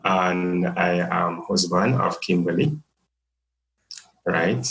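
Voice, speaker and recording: A man's voice: deep voice, slow, gravelly, fairly bright tone, roomy sound, rough recording. A young man with a Jamaican accent, a podcast guest talking casually and jokingly with many fillers. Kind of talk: casual interview chat.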